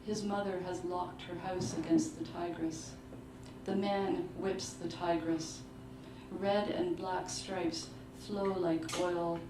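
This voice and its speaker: A woman giving a measured, deliberate literary reading of short fiction at a live book launch. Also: lowish voice, flat delivery. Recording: roomy, distant mic, clearly noisy live venue.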